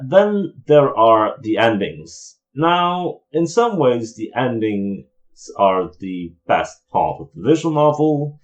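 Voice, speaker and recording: A man's voice: medium pitch, dull audio. A man giving an opinionated, explanatory video review in an informal commentary style.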